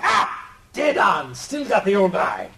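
An actor playing an excitable, eccentric male doctor and mad scientist in a live comedy radio play. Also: high-pitched, slow pace, vocal fry, far from the mic, heavily acted. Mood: gleeful. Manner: excitable, swooping delivery. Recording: live hall with audience noise.

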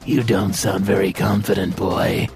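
A man voicing a sinister, mocking creature assassin in an audiobook, speaking slowly and deliberately with menace. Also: low voice, fairly even intonation, gravelly, a little mellow, soft background music, pitched below the performer's own voice. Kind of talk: audiobook character voice.

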